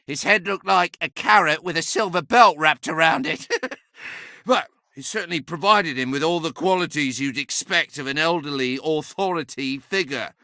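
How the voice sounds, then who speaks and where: An actor voicing an ancient, talkative magical staff in a video game, sardonic, pompous and bawdy, with a theatrical delivery. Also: male voice, a little high, normal speed, sharp tone, no echo, clean studio sound. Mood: sardonic, gossipy. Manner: sardonic, pompous, chatty, given to chuckling. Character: impish, talkative, bawdy ancient sentient staff, boastful adviser.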